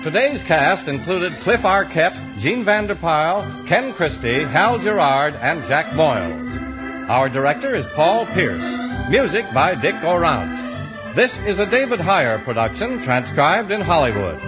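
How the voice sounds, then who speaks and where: A man's voice: fairly high pitch, big pitch swings, unhurried. An American man announcing in an emphatic, polished 1950s radio style. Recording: muffled vintage radio recording. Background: organ music.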